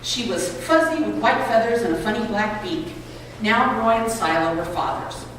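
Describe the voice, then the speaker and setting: A woman reading aloud to a gathering with clear, expressive storybook phrasing. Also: a little high, moderate pace. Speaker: reader at a public read-aloud event. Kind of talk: reading a children's book and an essay aloud. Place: college building lobby. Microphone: distant microphone. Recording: echoey hall, distant mic, noisy ambience.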